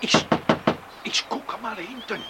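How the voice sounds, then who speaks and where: A man's voice: high-pitched, slow. A man acting a German ship's mate in a radio drama, curt and commanding, with a German accent. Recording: distant, very noisy radio drama recording.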